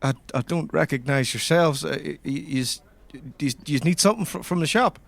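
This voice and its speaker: A man's voice: fairly high pitch, normal speed, vocal fry, dry recording. A man voicing a timid, hesitant village shopkeeper with an Irish accent in a tabletop game. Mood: nervous, eager. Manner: hesitant, stammering. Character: timid, stammering male village hardware shopkeeper.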